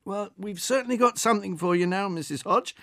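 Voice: medium pitch, brisk, fairly bright tone, no echo, studio quality, pitched above the performer's own voice, close to an everyday voice. A narrator voicing an older man at a delivery desk, speaking with forced professional cheer. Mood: coaxing. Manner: professional good cheer, coaxing. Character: old male drone delivery worker, falsely cheerful.

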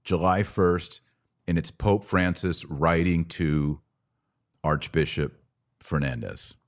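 Very deep-voiced man, podcast commentary. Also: steady tone, slow. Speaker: podcast host. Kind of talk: conversational religious commentary.

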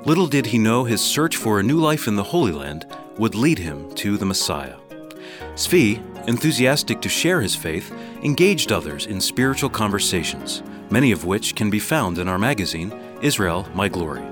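Male promo voice, low and expressive, dramatic reads over guitar. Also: normal speed. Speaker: announcer. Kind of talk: scripted promo narration. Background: guitar music.

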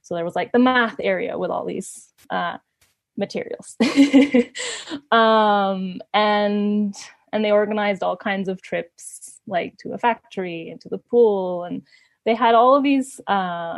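A woman's voice: mid-range voice, unhurried, gravelly, no echo. Woman, thirties, from Canada, interview guest, laughs a lot, lots of fillers, dry sound. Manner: laughs often, many fillers and restarts. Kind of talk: casual conversational storytelling.

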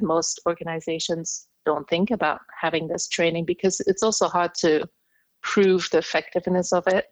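Low-voiced woman, Indonesian first language. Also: no echo, low-quality recording. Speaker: interview guest, communication skills trainer. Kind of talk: podcast interview storytelling.

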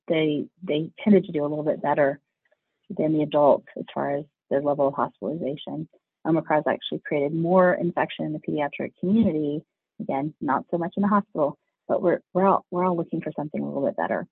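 A pediatrician answering interview questions with a hoarse, husky voice, earnest and explanatory. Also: male voice, on the high side, dry recording. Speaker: pediatrician guest. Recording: tinny phone line, dry.

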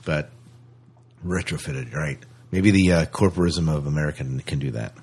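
A man's voice: very deep voice, moderate pace, darkish tone, slightly rough. A man co-hosting a podcast in casual chat, with a joking, teasing manner. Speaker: podcast co-host.

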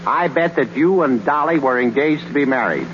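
A man's voice: mid-range voice, lively intonation, moderate pace, natural voice. An actor playing a teasing, slangy ballplayer pal in a 1930s radio comedy, needling like a tough guy. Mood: insistent. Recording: noisy, dull 1930s radio recording.

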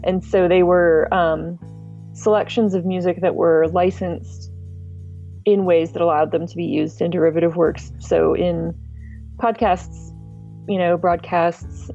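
A woman giving measured, explanatory answers as a guest in a podcast interview. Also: lowish voice, dark tone. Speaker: podcast guest, music archive director. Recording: dull lo-fi sound.

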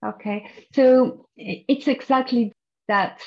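A woman's voice: fairly high pitch, slow, gravelly. French-accented woman coach, muffled audio. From France. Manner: thoughtful, explanatory, with frequent restarts. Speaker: executive coach, guest. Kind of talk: podcast interview.

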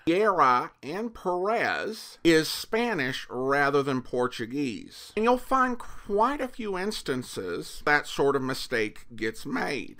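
A man giving conversational review commentary as a podcast host, in an analytical, measured manner with hesitant pauses. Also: mid-range voice, bright, forceful tone, clear, resonant tone.

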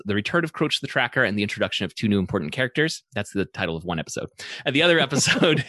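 A man's voice: on the low side, a bit bright, dry recording, studio quality. A man hosting a podcast, moving between prepared introductions and casual discussion. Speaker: podcast host.

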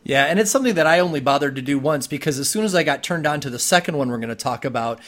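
A man's voice: fairly high pitch, animated, rapid-fire, a bit bright. A man hosting a conversational interview, talking in a wordy way with stammered restarts. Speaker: podcast host.

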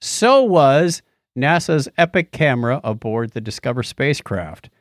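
A man's voice: medium pitch, expressive, measured pace, dry recording, studio quality. A middle-aged man with an American accent hosting a podcast, telling anecdotes in a casual, chatty way. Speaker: podcast host.

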